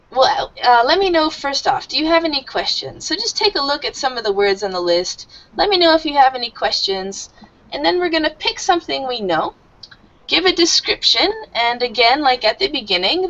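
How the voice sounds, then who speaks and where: Woman teacher, higher pitch, explains slowly and warmly, dull lo-fi online call audio. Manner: friendly, explains slowly with fillers. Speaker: English teacher.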